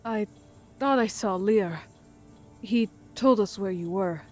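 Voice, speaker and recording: A woman playing an emotional, vengeful young alien crew member in an audio roleplay, with halting, intense delivery. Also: medium pitch, a little mellow, faint music behind, close to an everyday voice. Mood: worried. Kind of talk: ASMR audio roleplay drama.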